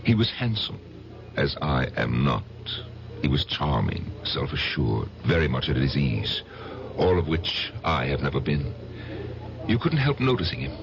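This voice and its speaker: An actor playing an insecure, besotted husband who recounts his past in a halting, self-deprecating, dramatic old-time radio style. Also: rumbling low, very animated, gravelly, exaggerated voice. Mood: wistful. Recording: dull lo-fi old radio broadcast, music behind.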